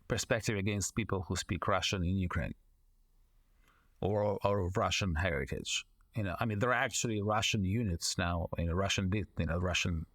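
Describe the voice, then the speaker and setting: A man with a lowish voice answers interview questions at length, in a measured way. He often leans on "you know" and restarts his sentences mid-thought as he builds up each point. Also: a bit bright. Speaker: guest, foreign correspondent and author.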